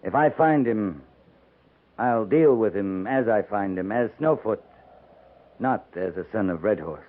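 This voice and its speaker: An actor playing a firm, plain-spoken frontier cavalry captain in a 1950s radio western, terse and grave. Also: male voice, fairly low pitch, lively intonation, unhurried. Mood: stern. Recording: narrow tinny 1950s radio recording.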